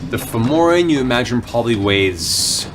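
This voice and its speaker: A man's voice: medium pitch, lively intonation, normal speed, music in the background. A man working as game master, narrating a tabletop game with dramatic, descriptive storytelling.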